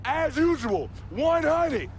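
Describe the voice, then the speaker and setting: A fed-up young athlete in a game drama, with a high, emphatic voice and slangy delivery. Faint noise sits behind him. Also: male voice, big pitch swings, slow pace, heavily acted, low-quality recording. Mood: angry, exasperated. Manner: emphatic, scolding, slangy, rhetorical questions. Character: exasperated young basketball star fed up with freeloading friend. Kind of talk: dramatic game cutscene acting.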